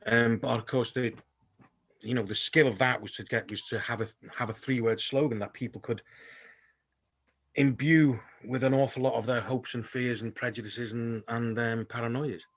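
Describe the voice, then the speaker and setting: A man moderating a panel discussion over a video call, thoughtful and halting, with frequent ums and restarts. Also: mid-range voice, fairly even intonation.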